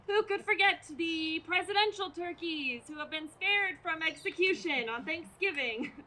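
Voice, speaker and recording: A woman's voice: extremely high pitch, normal speed, sharp tone, slight background noise. A woman playing an earnest female radio news reporter in a comic stage radio play, speaking in a dramatic, declamatory manner. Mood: grandly filling time. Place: outdoors, community theater play in a parking lot. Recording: outdoor amateur stage performance.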